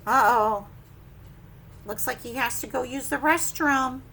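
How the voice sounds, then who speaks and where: A woman leading preschool story time, reading a picture book with simple, expressive asides and questions to young children. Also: high-pitched, unhurried, a little hiss or hum.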